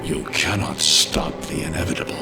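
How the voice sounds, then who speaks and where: A man voicing an ancient, vengeful alien war machine in a video game, deep, gravelly and ominous. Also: lightly acted. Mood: menacing, contemptuous. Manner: slow, ominous, deliberate. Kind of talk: video game villain dialogue. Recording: loud music over the voice.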